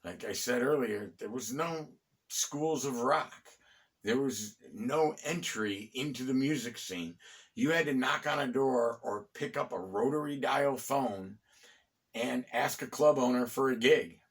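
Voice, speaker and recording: A middle-aged American man hosting a conversational, storytelling history talk, phrasing deliberately with pauses and dropping in wry asides. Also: medium pitch. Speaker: host and storyteller of a local history series. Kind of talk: conversational bedtime-story style history narration.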